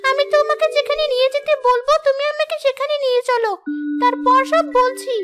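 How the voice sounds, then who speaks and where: Tiny, squeaky, very high cartoon bird. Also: female voice, fairly even intonation, fairly quick, a bit bright, soft background music. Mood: helpful, instructing. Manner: sweet, helpful. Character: kind grateful talking wild bird, small and sweet. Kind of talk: moral-story cartoon dub.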